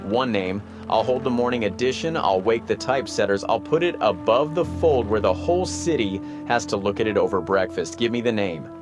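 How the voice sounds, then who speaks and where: Man acting an urgent, low-voiced young newspaperman. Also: a little fast, hardly any pauses, music in the background, lightly acted. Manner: urgent, insistent, punchy. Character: eager, idealistic young newspaperman. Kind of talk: 1930s noir radio drama acting.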